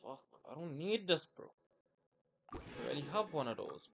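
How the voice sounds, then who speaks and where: A man's voice: on the high side, sing-song, moderate pace, gravelly, slight background noise. A man chatting casually on a gaming livestream in a slangy, informal way, talking to his viewers. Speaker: game livestreamer.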